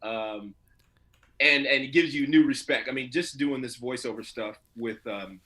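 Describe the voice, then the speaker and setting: A man who works as an actor, talking casually and colloquially as an interview guest, telling stories in an animated way. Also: mid-range voice, normal speed. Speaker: voice and motion capture actor being interviewed.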